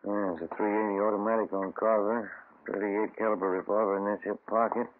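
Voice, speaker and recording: A man's voice: on the low side, steady tone, brisk, lightly acted. A man playing a plain-spoken American police detective in a 1950s radio drama, businesslike and conversational, on an old radio recording. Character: plain-spoken, steady male police detective, the lead's partner. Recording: old narrow-band radio recording, faint noise.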